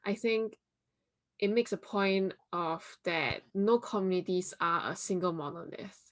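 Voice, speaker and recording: Female interview host with a lowish voice. Conversational and affirming, asks open questions. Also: measured pace. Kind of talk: university interview show.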